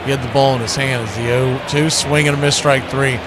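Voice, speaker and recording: A man's voice: medium pitch, fairly even intonation, a little fast, background noise. A man calling live baseball play-by-play, conversational, with clipped calls and wry asides. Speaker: play-by-play radio announcer.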